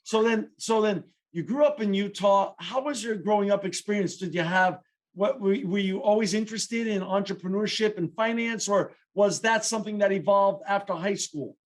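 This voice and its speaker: A man's voice: high-pitched, moderate pace. A man hosting an interview podcast, asking eager questions with frequent restarts. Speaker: podcast host.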